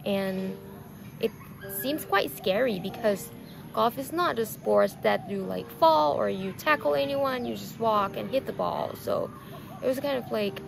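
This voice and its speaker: Thai woman in her twenties with a Thai accent, soft reflective vlog confession, very slow and heavily gravelly, music under her, recorded outdoors. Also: on the high side, expressive. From Thailand. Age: 20s. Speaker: vlogger. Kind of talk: personal storytelling to camera. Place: outdoors on a lawn. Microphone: camera on a tripod, seated facing it.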